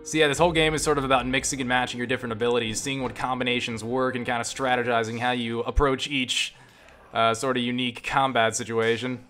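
A man giving casual, profane gameplay commentary, enthusiastic but halting, often restarting his phrases. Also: mid-range voice, flat delivery, fairly bright tone. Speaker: gamer and game reviewer. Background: game music and sound.

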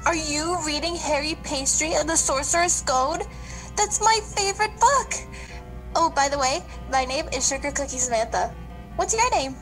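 Woman as very high-pitched perky cookie schoolgirl. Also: lively intonation, normal speed, a bit bright, faint music behind, poor audio. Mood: friendly, curious. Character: friendly, perky cookie schoolgirl, outspoken classmate. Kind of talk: children's radio play acting.

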